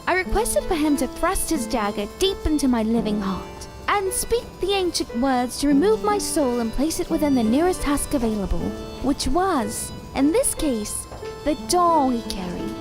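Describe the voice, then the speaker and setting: A woman's voice: high-pitched, very animated, moderate pace. A woman playing a scheming, commanding living doll in an audio drama, sweetly menacing with formal, theatrical phrasing. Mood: coaxing, scheming. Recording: music under the voice.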